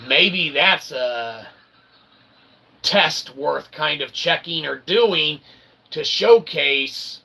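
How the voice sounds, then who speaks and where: Forceful, gravelly man rambling philosophically. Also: fairly high pitch, expressive, extremely slow. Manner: verbose, convoluted pseudo-academic phrasing with folksy asides. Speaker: independent channel streamer. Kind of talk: rambling philosophical monologue on a stream.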